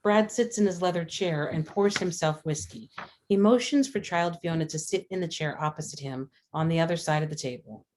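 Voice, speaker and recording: A woman's voice: deep voice, a little fast, a little mellow, a little hiss or hum. A woman narrator reading screenplay stage directions aloud in a scripted style.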